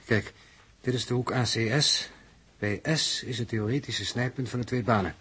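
A man's voice: mid-range voice, fairly even intonation, normal speed, fairly bright tone, slightly rough. An actor with a Netherlands Dutch accent playing a precise, slightly touchy spaceship navigator in a 1960s radio drama, measuring out his figures. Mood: precise, insistent. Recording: noisy 1960s radio recording, clicks.

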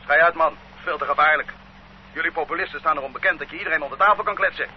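An actor playing a bullying, greedy male policeman who scolds gruffly, in an older radio recording. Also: on the high side, racing, lightly acted. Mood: stern. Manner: gruff, scolding, self-satisfied. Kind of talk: radio play acting. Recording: dull old radio recording, faint hum.

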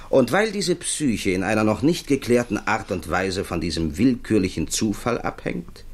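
An actor playing a cautious, sober computer expert in a 1960s German radio drama, explaining precisely and matter-of-factly. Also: male voice, deep voice. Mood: cautioning. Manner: precise, didactic, matter-of-fact.

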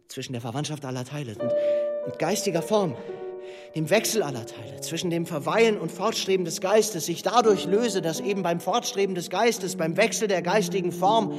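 Guy with a highish voice doing a solemn formal reading, big echoey hall, music under it. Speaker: reader. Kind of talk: solemn literary reading of philosophical prose.

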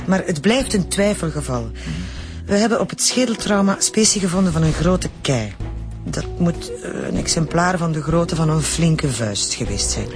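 A Flemish actress playing a frank, careful forensic pathologist in a radio drama, explaining in a familiar way. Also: female voice, fairly low pitch, lively intonation, moderate pace, over music, natural voice. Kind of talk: naturalistic radio drama dialogue.

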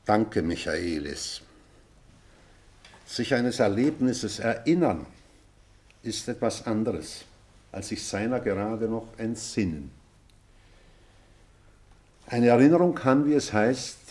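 An actor playing a solemn, lofty man who addresses old schoolmates in deliberate, rhetorical radio-play speech. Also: lowish voice, animated, darkish tone. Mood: solemn, uplifting. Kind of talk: theatrical radio play oratory.

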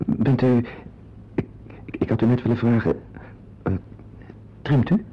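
A man's voice: fairly even intonation, measured pace, lightly acted. An actor playing a needy, flustered man in a radio play, with a hesitant, confiding manner. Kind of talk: radio drama acting with asides to the listener. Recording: dull old radio recording with rumbling noise.

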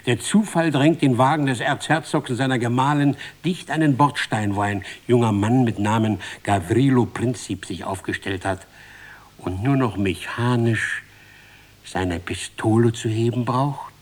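An actor playing a solemn, impassioned storyteller in a radio play, declamatory and deliberate, repeating phrases for emphasis. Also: male voice, mid-range voice, lightly acted. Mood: grave, mounting. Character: solemn, impassioned male storyteller, a bard of fate. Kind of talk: dramatic scripted narration in a radio play.

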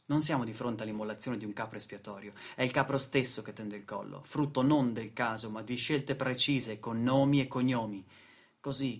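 A man performing a scripted theatrical monologue for radio, in a rhetorical, declamatory manner. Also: medium pitch.

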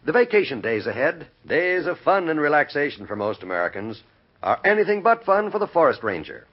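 Old time radio announcer guy, husky and animated, muffled lo-fi, faint music. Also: on the high side, brisk. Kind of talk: vintage radio announcing, scripted.

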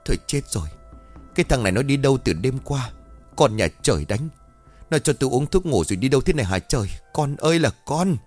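A man's voice: medium pitch, animated, a little fast, darkish tone, faint music behind, pitched above the performer's own voice, natural voice. A man voicing a frantic middle-aged village mother who panics and calls out for her son. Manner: panicked, wailing, calling out.

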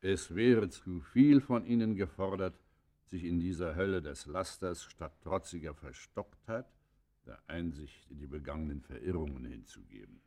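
Very deep, solemn confessor. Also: male voice, dark tone. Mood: stern. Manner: solemn, measured, formal. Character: grave, pious male confessor questioning a condemned criminal. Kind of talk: German radio play acting, formal dialogue.

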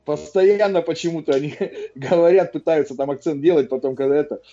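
Mellow-voiced man rambling on a muffled mic. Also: fairly high pitch, brisk. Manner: loose, rambling, swears freely. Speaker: stream co-host. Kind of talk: casual live-stream chat.

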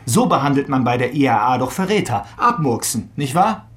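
An actor playing an ironic, needling police detective in a radio crime drama. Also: male voice, mid-range voice, big pitch swings, a little fast, lightly acted. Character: sardonic male police detective, theorising and needling suspects.

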